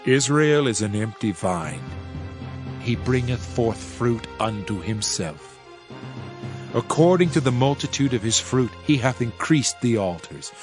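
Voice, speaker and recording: A man's voice: medium pitch, animated, a little slow, natural voice. A man playing a solemn Old Testament prophet in a dramatized Bible reading, declaiming judgment in a grave, formal manner. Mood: solemn, accusing. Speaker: scripture narrator. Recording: music under the voice. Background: music.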